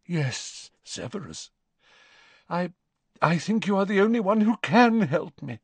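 Man doing a tearful high-voiced noble witch mother, slow and pleading, with big swoops, dry sound. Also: very animated, breathy edge, dry recording, heavily acted. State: crying. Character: desperate weeping aristocratic witch, mother. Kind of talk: audiobook character voice.

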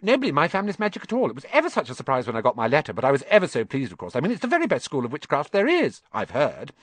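A man voicing a bossy, know-it-all young schoolgirl who talks very fast. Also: extremely high pitch, wildly swooping pitch, a bit bright, dry recording, pitched above the performer's own voice, exaggerated voice. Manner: bossy, rapid-fire.

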